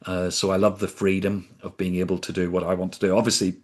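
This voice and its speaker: Deep, flat-voiced British man. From United Kingdom. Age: 50s. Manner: measured, deliberate, anecdotal. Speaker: podcast guest, sustainability consultant. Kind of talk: reflective storytelling in conversation.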